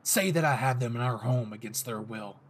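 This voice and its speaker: A man voicing an obsessive, threatening young male anime hero in a low, growling audio roleplay performance. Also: brisk, breathy edge, natural voice. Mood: seething menace.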